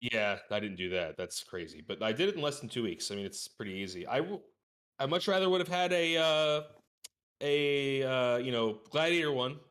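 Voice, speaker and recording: A man's voice: medium pitch, expressive, normal speed, fairly bright tone. A man talking casually as a guest on a gaming podcast, using informal gamer slang.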